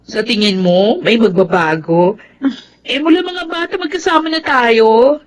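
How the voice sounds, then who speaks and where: A woman's voice: fairly high pitch, a little slow, close to an everyday voice. A woman playing a down-to-earth, teasing young best friend in a drama, with a Filipino accent and background noise. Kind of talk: casual drama dialogue.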